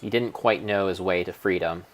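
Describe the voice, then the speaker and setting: Deep-voiced man, theatrical storybook narration. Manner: theatrical, solemn, mock-epic delivery with drawn-out words. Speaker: streamer narrating own gameplay. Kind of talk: dramatic storybook narration of a video game.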